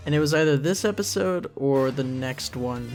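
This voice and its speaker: A man talks through a commentary track in a casual, unscripted way. His voice sits at an average pitch and he often halts to think aloud, with music playing underneath. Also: moderate pace, darkish tone. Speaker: series creator giving a commentary. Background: cartoon episode audio and music playing underneath.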